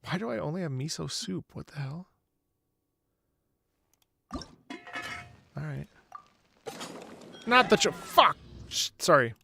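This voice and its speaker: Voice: mid-range voice, sing-song, slow pace, vocal fry, long pauses, sharp tone, a little hiss or hum. A game streamer chatting casually on a livestream, blunt and full of swearing. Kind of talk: casual livestream gameplay chat. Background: video game music.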